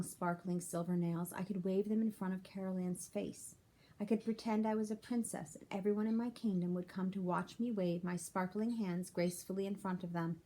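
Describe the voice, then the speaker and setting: A woman's voice: mid-range voice, dark tone, rough recording. A woman reading a children's chapter book aloud like a teacher, with simple explanatory asides for young listeners.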